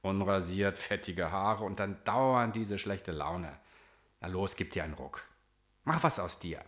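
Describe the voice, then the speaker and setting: A man's voice: low voice, measured pace, fairly bright tone, pitched below the performer's own voice. A man voicing a cheeky, needling male mirror reflection in a storybook reading. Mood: lecturing. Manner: teasing, coaxing, then spiteful.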